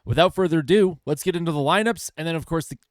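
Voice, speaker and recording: A man's voice: on the high side, fast talker, no room sound, studio quality. A young man hosting a casual hockey pre-game commentary in a chatty way, with lots of filler words, while he keeps losing his voice. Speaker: hockey channel host.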